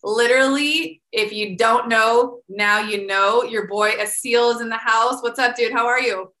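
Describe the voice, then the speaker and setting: A woman hosting a casual podcast interview, her voice bright and forceful. She gushes with enthusiasm and keeps filling her talk with "like", and the sound comes back off an echoey room. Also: fairly high pitch, measured pace. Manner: gushing, enthusiastic, heavy use of "like" and "literally". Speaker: podcast host.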